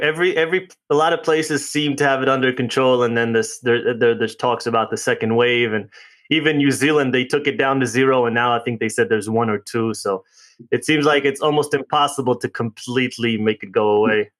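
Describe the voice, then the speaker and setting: A man's voice: medium pitch, a little fast, fairly bright tone. Male host, mid voice, chatty with frequent restarts. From United States. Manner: friendly, restarts often, conversational. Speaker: podcast host. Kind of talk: casual interview chat.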